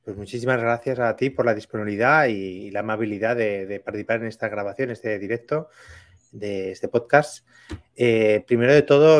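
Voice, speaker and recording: Middle aged guy w/ hosting a podcast, normal pitch, video call chat. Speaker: podcast host. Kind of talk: conversational interviewing.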